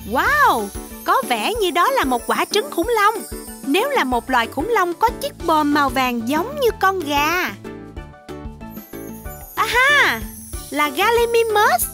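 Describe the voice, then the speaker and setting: A woman's voice: extremely high pitch, big pitch swings, takes it slowly. A woman narrating a children's cartoon, exclaiming and putting questions to young viewers. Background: children's music.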